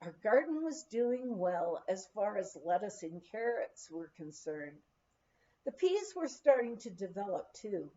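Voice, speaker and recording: Woman, audiobook reader, expressive storytelling, mellow soft-edged tone, animated swooping intonation, slightly muffled lo-fi sound. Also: mid-range voice, unhurried. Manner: animated storytelling with acted dialogue. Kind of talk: expressive reading of a humorous memoir.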